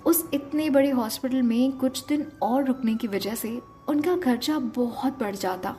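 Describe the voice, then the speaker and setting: A woman's voice: high voice, a little slow, dark tone, a little hiss or hum. A woman narrating an emotional audio story as a storyteller. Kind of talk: dramatic story narration with acted dialogue.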